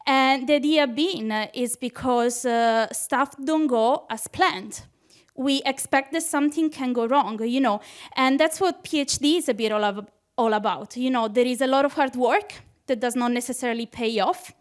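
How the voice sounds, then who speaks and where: A woman's voice: high voice, moderate pace. A young woman with an Italian accent doing a nervous, chatty stand-up comedy set on a club stage, laughing at her own jokes. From Italy. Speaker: PhD student doing stand-up.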